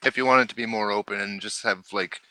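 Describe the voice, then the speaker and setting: Very bright, low-voiced male game master. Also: fairly even intonation, fast, no room sound, low-quality recording. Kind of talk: casual tabletop game discussion.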